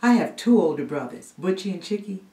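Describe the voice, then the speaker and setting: A woman playing a storyteller who recounts a spooky childhood family tale with hushed, dramatic suspense. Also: fairly low pitch, animated, a little fast, pitched above the performer's own voice. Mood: suspenseful. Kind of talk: theatrical storytelling monologue. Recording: roomy echo, distant microphone.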